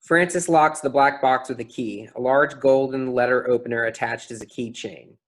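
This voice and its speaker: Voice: mid-range voice, moderate pace. A narrator reading out a play's stage directions as scripted narration.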